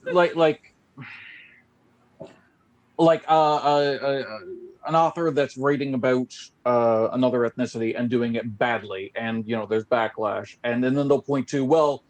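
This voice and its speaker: A man's voice: mid-range voice, unhurried, low-quality recording. Chatty Newfoundland man hosting. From Newfoundland, Canada. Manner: rambling, many restarts and fillers, animated when riled. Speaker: podcast host. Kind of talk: casual conversational interview.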